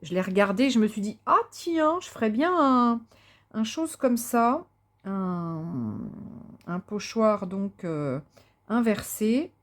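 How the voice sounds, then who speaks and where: A woman's voice: a little high, expressive, slow. A woman vlogger chatting casually while she crafts, thinking aloud with many fillers and restarts, enthusiastic and sometimes muttering to herself. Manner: enthusiastic about colours; talks to herself softly while working.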